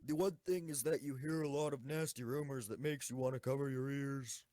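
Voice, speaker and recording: A man's voice: medium pitch, fairly even intonation, a little fast, warm tone, no echo, close to an everyday voice. A man playing an eager, devoted schoolgirl tank enthusiast in an amateur audio drama. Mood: explaining.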